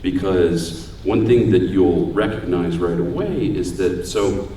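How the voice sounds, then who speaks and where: Deep-voiced man echoing in a large hall. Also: lively intonation, slow, warm tone, slight background noise. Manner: casual, joking, conversational. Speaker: voice actor giving a panel. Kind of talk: convention panel talk. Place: large convention hall room. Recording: echoey hall, voice far from mic.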